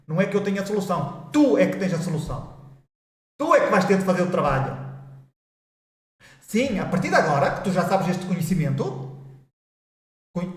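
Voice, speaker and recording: A man's voice: high voice, roomy sound. A man with a European Portuguese accent giving a rambling, repetitive spiritual talk, restarting his sentences and mocking people's excuses. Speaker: spiritual video host. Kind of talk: informal spiritual monologue.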